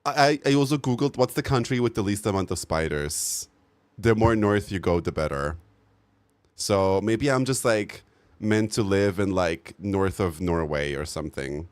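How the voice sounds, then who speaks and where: Male streamer, lowish pitch, loose animated chat with his viewers, cursing and laughing freely. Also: moderate pace. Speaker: live streamer. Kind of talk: casual chat with live viewers.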